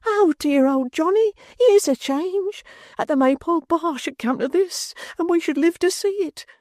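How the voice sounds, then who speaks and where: A woman's voice: sits high, big pitch swings, measured pace, mellow, dry recording, clean studio sound, pitched above the performer's own voice. A woman voicing a little, weepy, tender-hearted male church clerk who whimpers and pleads. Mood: blubbering.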